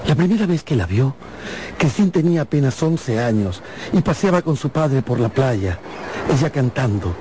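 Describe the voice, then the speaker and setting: A man narrating a radio drama in a theatrical storytelling way, with deliberate pauses. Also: mid-range voice. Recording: music behind the voice.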